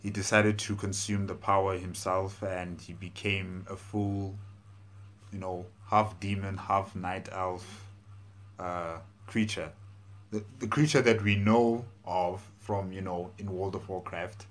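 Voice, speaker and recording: A man in his thirties giving casual, halting, thoughtful commentary as a video host, indoors on a lapel mic. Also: sits low, little pitch movement. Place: small room indoors.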